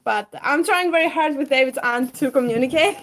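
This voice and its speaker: A young woman whose first language is Greek talks loosely on a homestead livestream, laughing often and letting her sentences drift off. Her voice sits high in its register. She chats from a farmyard and then from inside the house. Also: brisk, a bit bright. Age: 20s. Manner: chatty and giggly, trailing off mid-sentence. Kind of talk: casual livestream chat. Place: rural farmstead, outdoors then indoors.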